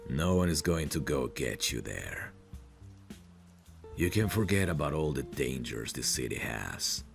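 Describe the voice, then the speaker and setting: A man acting out a possessive, protective mafia boss in an intimate audio roleplay. His voice is extremely deep and gravelly, and he speaks slowly and deliberately. He sounds smooth and sure of himself, coaxing with an edge of menace. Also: heavily acted. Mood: firm, protective. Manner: slow, deliberate, self-assured, coaxing with pet names.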